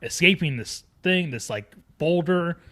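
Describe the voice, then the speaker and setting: A man's voice: fairly high pitch, lively intonation, measured pace, a bit bright. A man co-hosting a movie podcast, talking casually as he discusses films. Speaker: podcast co-host.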